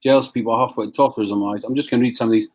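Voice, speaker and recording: A man's voice: mid-range voice, steady tone, very fast, darkish tone. Blunt swearing man ranting live. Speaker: live show host, business owner. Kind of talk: profane ranting on a live stream.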